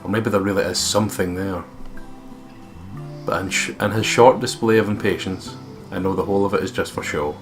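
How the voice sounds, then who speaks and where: Man, low pitch, visual novel read-aloud, guitar music behind. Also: steady tone, fairly quick. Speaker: let's play host. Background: game music with guitar.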